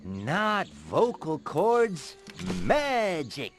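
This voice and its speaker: An actor playing a boastful talking jaguar in a video game, with a grandiose, storytelling manner. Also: male voice, a little high, huge pitch swings, drawn-out words, faint music behind, heavily acted. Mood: proud, boastful. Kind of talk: animated video game voice acting.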